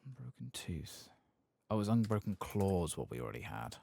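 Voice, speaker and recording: A soft-spoken man muttering casual ASMR commentary as he plays a game, with a husky, gravelly voice. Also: fairly low pitch, sing-song, moderate pace. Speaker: gameplay streamer.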